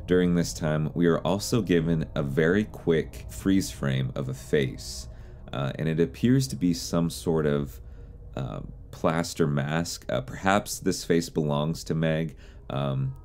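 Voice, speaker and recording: Very deep-voiced man narrating an explainer. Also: darkish tone, a little hiss or hum. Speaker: video host and narrator. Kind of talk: explainer commentary on horror videos.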